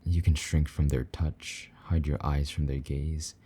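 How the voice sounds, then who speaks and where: A man playing a possessive but tender, lonely demon lover in an intimate romantic audio roleplay, speaking softly and coaxingly in a husky voice. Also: little pitch movement, full character voice. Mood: confessional, loving. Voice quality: very deep, dark, husky. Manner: soft, slow-burning, gently coaxing endearments.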